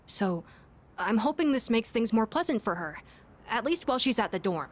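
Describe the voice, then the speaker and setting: A woman playing an earnest, gentle young schoolboy in a video game, speaking politely and thoughtfully with some hesitation. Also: a little high, very animated, normal speed. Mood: relieved, hopeful. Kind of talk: English video game voice acting.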